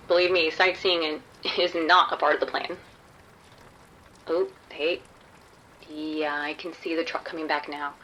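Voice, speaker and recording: A woman's voice: on the low side, fairly bright tone, highs cut off. A woman playing a wry undercover policewoman in a radio drama, talking casually and matter-of-factly. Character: wry undercover policewoman posing as a street sex worker.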